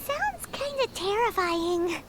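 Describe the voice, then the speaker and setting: Casting: tiny video game fairy sidekick, very high and squeaky, swooping pitch, nervous and curious. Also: female voice, sing-song, takes it slowly, heavy background noise, heavily acted. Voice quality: squeaky, childlike. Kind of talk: video game character acting.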